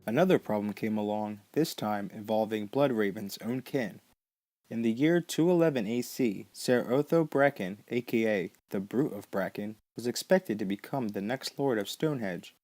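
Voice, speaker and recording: A man's voice: fairly low pitch, normal speed, darkish tone, no echo. A man hosting a podcast, giving scripted but conversational explanatory commentary. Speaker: podcast host.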